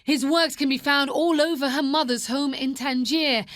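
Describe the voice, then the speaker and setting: A woman's voice: high voice, fairly even intonation, moderate pace, a bit bright, no echo, studio quality. A woman reporter reading scripted narration for a news profile.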